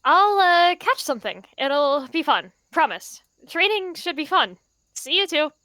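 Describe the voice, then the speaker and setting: Woman voicing jittery she-cat mentor, high, bright, halting. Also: very animated, no echo, full character voice. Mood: uneasy. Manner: hesitant, halting with fillers. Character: nervous young she-cat warrior mentor. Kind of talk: voice roleplay.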